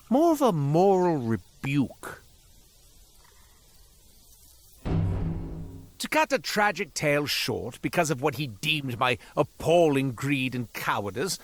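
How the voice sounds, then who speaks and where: Casting: a cursed game-world merchant, florid and wry, melodramatic, very animated pitch. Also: male voice. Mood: self-pitying. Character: theatrical, self-pitying cursed merchant, former quartermaster. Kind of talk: scripted video game character monologue.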